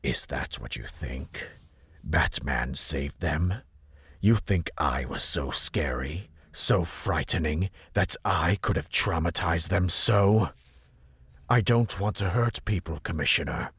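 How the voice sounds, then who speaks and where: Deep, creaky, deranged villain doctor. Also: male voice, slow pace, no echo, clean studio sound, heavily acted. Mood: menacing, brooding. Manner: theatrical, sinister, sing-song nursery rhyme delivery. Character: deranged, menacing male doctor turned Scarecrow cultist. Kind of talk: audio drama acting. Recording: loud music over the voice.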